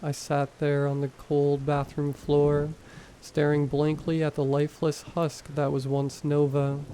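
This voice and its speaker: A man narrating horror stories in a calm, measured storytelling voice. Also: on the high side, slight background noise, poor audio. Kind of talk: scripted narration.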